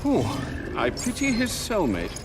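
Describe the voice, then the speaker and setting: An actor playing an older, dutiful British butler in a video game, formal and deferential with dry sardonic wit. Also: male voice, sits high, huge pitch swings, a little slow, over loud music, exaggerated voice. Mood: dry concern. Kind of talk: video game cutscene acting.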